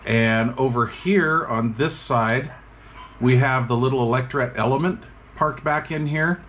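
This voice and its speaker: A man's voice: mid-range voice, a little slow, slight background noise. Chatty man reviewing radio gear. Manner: relaxed, chatty, many fillers. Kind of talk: casual product review.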